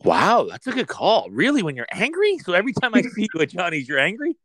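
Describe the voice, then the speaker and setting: Playful man with a lively voice. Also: high voice, quick pace. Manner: playful, slips into a mock ad-announcer voice. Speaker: podcast co-host. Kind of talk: casual chat.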